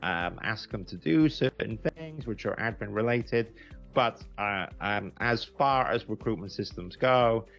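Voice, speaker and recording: British man, very low pitch, conversational explainer, casual testimonial style, slightly dull recording, music behind. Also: animated, unhurried, gravelly, a bit bright. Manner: conversational, explanatory, emphatic word repetition. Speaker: recruitment agency founder giving a software review.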